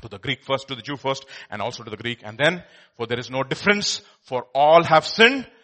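Emphatic preaching man, bright and lively. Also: medium pitch, fairly quick. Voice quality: says he has a loud voice. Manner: emphatic, swings from quiet to loud exclamations. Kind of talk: sermon.

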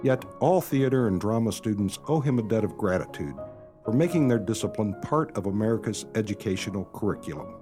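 A man giving a formal, scripted introduction as a radio drama announcer, measured and declamatory. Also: fairly low pitch, expressive. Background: prominent music.

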